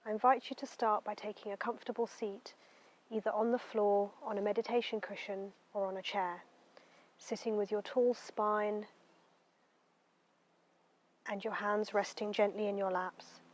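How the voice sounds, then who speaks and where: A woman guiding a meditation in a gentle, unhurried, soothing way, letting her phrases end softly. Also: mid-range voice, level tone. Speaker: meditation guide. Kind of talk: guided meditation narration.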